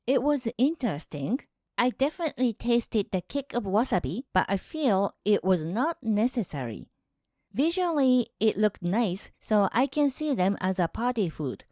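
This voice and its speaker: A woman from Japan, hosting her own channel in calm, measured scripted narration. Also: medium pitch, no room sound, studio quality. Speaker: host.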